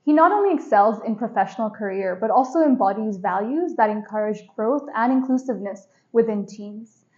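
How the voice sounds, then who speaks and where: A woman hosts a podcast in a polished, enthusiastic manner at a middling pitch. She reads a prepared introduction and then asks her guest questions. The sound is slightly dull and lo-fi. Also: animated, brisk, hardly any pauses, warm tone. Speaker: podcast host. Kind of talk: scripted introduction and interview questions.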